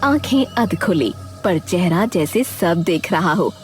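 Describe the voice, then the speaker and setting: A woman delivering dramatic scripted narration for an animated story. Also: fairly low pitch, measured pace. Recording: loud background music.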